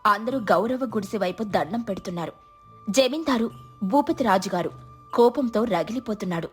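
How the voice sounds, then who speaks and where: A woman's voice: mid-range voice, lively intonation, normal speed, soft background music. A woman storyteller giving scripted, dramatic narration of a village tale.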